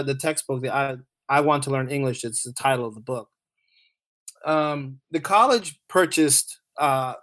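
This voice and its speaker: Chatty man with a slightly high voice. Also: fairly bright tone. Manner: informal, repeats phrases as he goes. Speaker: podcast guest, author and audio dramatist. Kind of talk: casual chat, anecdotes.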